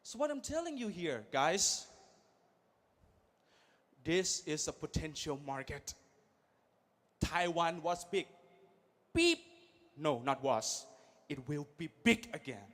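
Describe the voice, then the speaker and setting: A man in his thirties giving an energetic motivational speech at a large event, English not his first language, swinging from quiet asides to loud calls to the crowd. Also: on the high side, slow pace, pauses often. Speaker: guest speaker.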